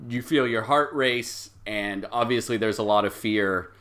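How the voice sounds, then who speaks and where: A man running a tabletop game as game master, improvising the narration and thinking aloud in a halting way. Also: on the low side, fairly bright tone.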